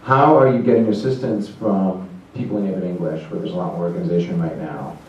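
A man's voice: low voice, steady tone, fast talker, darkish tone, a little hiss or hum. A man in the audience asking a question hesitantly, with many ums and uhs. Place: conference hall. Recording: dull, echoey hall audio from far off.

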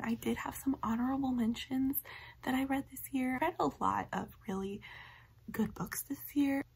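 A young woman in her twenties, a little hoarse from a cough, chatting enthusiastically about books in her bedroom to a webcam. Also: a little high, darkish tone. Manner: upbeat, enthusiastic, rambling. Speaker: book vlogger. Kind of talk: casual book review chat. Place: bedroom, indoors. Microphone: webcam facing her at close range.

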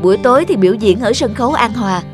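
A man's voice: high voice, animated, fast, over music. A man voicing a pushy, stern middle-aged talent manager in a cartoon, bossy and insistent. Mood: brisk, insistent. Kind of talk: cartoon voice acting.

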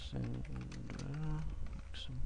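A young man with an Estonian accent, talking through a coding tutorial at his computer in a halting way, full of fillers and restarts. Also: a little high, level tone, creaky, heavy background noise. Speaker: programming tutor. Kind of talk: screen-recorded coding tutorial. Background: keyboard typing and clicks.

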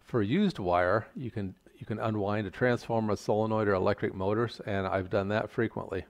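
An older man giving a measured, plain-spoken, step-by-step tutorial explanation. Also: on the low side. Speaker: instructor. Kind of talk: explanatory tutorial narration over slides.

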